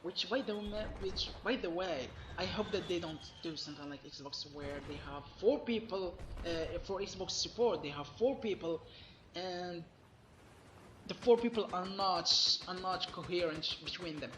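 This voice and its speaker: A man giving an emphatic, complaining gaming commentary while losing his voice, sounding hoarse. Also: sits high, a little slow, reverberant, noisy background. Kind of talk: solo gaming rant.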